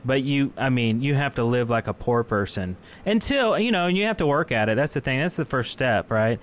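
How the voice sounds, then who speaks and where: A man's voice: medium pitch, expressive, brisk. A man co-hosting a live call-in podcast, chatty and easygoing, laughing often and restarting his sentences. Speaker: podcast co-host. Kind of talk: casual live call-in chat.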